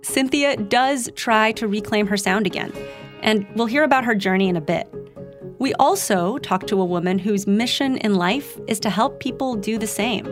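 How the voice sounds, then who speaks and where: A woman's voice: medium pitch, moderate pace, faint music behind. A woman from the Philippines hosting a podcast, chatting conversationally and guiding the segments. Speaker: podcast host.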